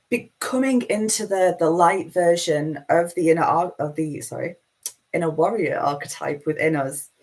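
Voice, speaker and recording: Low-voiced woman with a Manchester accent. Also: darkish tone. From Manchester, England. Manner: meandering, self-deprecating, chatty. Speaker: spiritual teacher hosting a live session. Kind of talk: rambling live spiritual talk.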